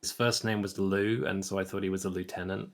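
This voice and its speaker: A man co-hosting a stream, chatting casually in a low-key, mock-earnest way. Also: flat delivery, fairly quick. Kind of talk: casual chat.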